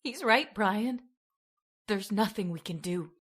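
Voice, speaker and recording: A woman's voice: mid-range voice, lively intonation, takes it slowly, fairly bright tone, slightly rough, full character voice. A woman playing a frightened, fragile young woman in a radio horror drama, with dramatic, fearful delivery. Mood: hopeless. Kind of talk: old-time radio horror acting.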